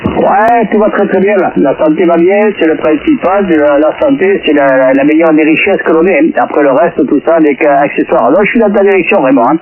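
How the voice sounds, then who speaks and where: A man's voice: a little high, a little fast, few pauses. A man chatting casually as an amateur radio operator over a radio link. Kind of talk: casual radio contact chat. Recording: tinny radio transmission with background chatter.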